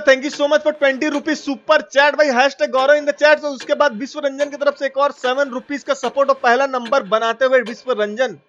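A man's voice: very high-pitched, a bit bright, lo-fi sound. A man with a Bihar and Purvanchal Hindi accent giving casual, playful live gaming commentary, full of colloquial exclamations and repeated phrases. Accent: eastern Hindi belt (Bihar and Purvanchal). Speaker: live game streamer. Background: video game music.

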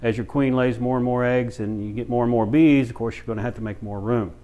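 Plain-spoken middle-aged man, halting delivery. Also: medium pitch, fairly even intonation, mellow. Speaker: beekeeper and bee farm shop owner, instructor. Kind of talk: informal instructional demonstration. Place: small brick-walled room with many windows, indoors.

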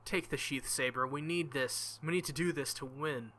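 A man's voice: medium pitch, normal speed, pushed and bright, a little hiss or hum. A young man reading a visual novel aloud as a let's play host, with joking, crude asides between passages.

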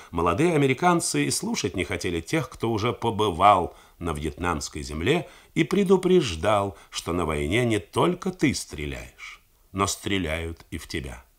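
A man narrating a novel in a measured, theatrical reading style for a 1980s radio broadcast. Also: low-pitched, fairly bright tone. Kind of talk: scripted literary narration.

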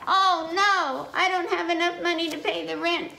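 Storybook farmer woman, voiced by an older woman: anxious, dramatic, raised pitch, echoey room with noise. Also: steady tone, moderate pace, gravelly, sharp tone, full character voice. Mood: dismayed. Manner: dramatic, dismayed exclamations. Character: worried farm woman short of money.

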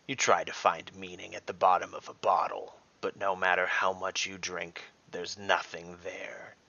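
Sinister dragon acted by a man, low gravelly hiss, slow, mocking, fantasy audio story, slightly muffled. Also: flat delivery, pushed and bright, heavily acted. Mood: menacing, contemptuous. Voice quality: hissing, breathy whisper. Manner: slow, deliberate. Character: sinister taunting male dragon, menacing and mocking.

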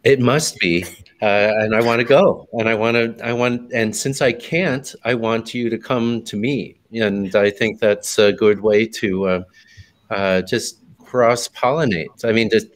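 A man on a video call panel, halting with many restarts. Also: on the low side, fairly even intonation. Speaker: panel guest.

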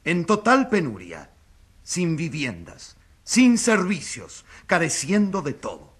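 An actor playing an indignant crusading newspaper editor, declaiming emphatically as the accuser in a courtroom radio drama. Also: male voice, fairly high pitch, wildly swooping pitch, slow, a bit bright, breathy edge, exaggerated voice. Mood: indignant, accusing. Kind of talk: theatrical radio drama oratory.

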